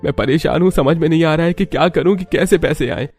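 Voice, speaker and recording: A man's voice: a little high, fast, a little mellow, faint music behind, pitched above the performer's own voice. Man voicing a strained, troubled young husband. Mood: anxious. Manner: anxious, weary. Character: troubled, poor young husband, a daily-wage labourer. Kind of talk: Hindi cartoon story dialogue.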